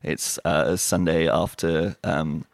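A man hosting a podcast in casual chat, rambling with lots of fillers and quick laughs. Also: extremely low pitch, fairly even intonation, creaky, dry recording. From Wales and Australia. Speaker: podcast host.

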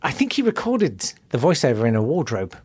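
British man, mid pitch, soft-spoken and sentimental, casual podcast guest. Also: sing-song, fairly quick, slightly rough. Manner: wistful, emotional storyteller. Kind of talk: casual chat.

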